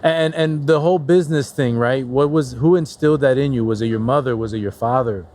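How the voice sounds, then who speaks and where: A man's voice: medium pitch, brisk, a little mellow, slight background noise. A man hosting a casual podcast interview, upbeat and encouraging, often echoing his guest's answers. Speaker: podcast host.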